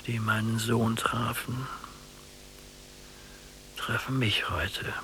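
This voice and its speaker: An older man acting in a literary radio drama as a bitter, mistrustful old blind prisoner, halting and brooding. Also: mid-range voice, steady tone, bright, forceful tone, a little hiss or hum. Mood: bitter, despairing. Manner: slow, halting, brooding delivery.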